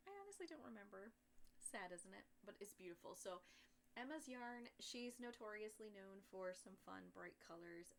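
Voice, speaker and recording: A woman hosting her knitting podcast in casual chat, soft-spoken but pushing to talk louder, tired from a headache and rambling with fillers, close to a computer's built-in mic at home. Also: medium pitch, vocal fry, slight background noise. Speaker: podcast host and yarn maker. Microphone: built-in computer microphone, sitting close.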